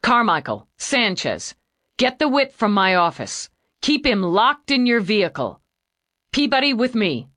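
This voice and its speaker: A woman's voice: medium pitch, big pitch swings, unhurried, a bit bright, no echo, studio quality, voice pushed up. A woman voicing a tough female homicide lieutenant who gives blunt, curt orders. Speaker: police lieutenant.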